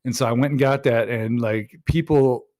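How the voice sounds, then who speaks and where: A man's voice: mid-range voice, fairly even intonation, moderate pace, darkish tone, dry recording, clean studio sound. A young man with an Ohio accent hosting a livestream, telling rambling, profane stories in casual chat on his microphone. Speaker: livestream host. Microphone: personal microphone.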